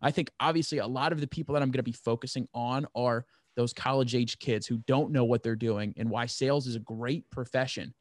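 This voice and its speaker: A man's voice: mid-range voice, fairly even intonation, brisk, hardly any pauses, no room sound. Young man chatting casually, very dry sound. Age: 20s. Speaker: podcast host, first-time writer seeking advice. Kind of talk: casual chat.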